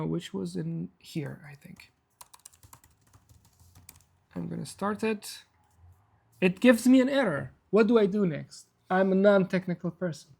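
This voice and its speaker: A man's voice: high voice, creaky. A man whose first language is Persian, hosting a live coding workshop and explaining steps in a casual, self-deprecating way. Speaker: workshop host.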